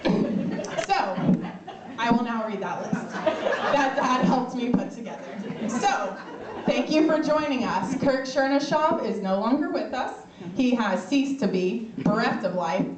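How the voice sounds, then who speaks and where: A woman's voice: on the high side, expressive, measured pace, a crowd in the background. Young woman joking through a eulogy. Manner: warm, joking, laughs between lines. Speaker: eulogist, daughter of the deceased. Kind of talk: informal memorial speech. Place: outdoor pavilion. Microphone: microphone through loudspeaker. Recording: echoey, distant, noisy outdoor recording.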